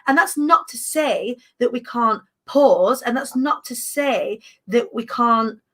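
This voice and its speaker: Woman, thirties, higher voice, bright tone, emphatic, playful asides. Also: slow. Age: 30s. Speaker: podcast host. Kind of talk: casual chat.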